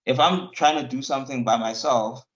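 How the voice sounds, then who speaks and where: A man's voice: medium pitch, moderate pace, fairly bright tone. Hesitant man on muffled lo-fi audio. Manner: questioning, hesitant, trailing off mid-sentence. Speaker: co-host. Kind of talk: casual chat.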